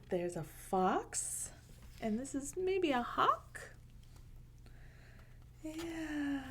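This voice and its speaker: A woman reading a picture book aloud to children in a gentle, unhurried way, with casual asides. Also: a little high, a little hiss or hum. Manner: gentle, unhurried, pausing between short phrases.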